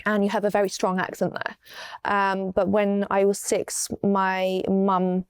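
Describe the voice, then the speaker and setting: A young woman from Wales with an English accent, unhurried and full of ums as she tells her story in an interview. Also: medium pitch, monotone, smooth, dry recording, clean studio sound. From Wales, raised in East London. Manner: unhurried, many ums and restarts. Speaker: guest.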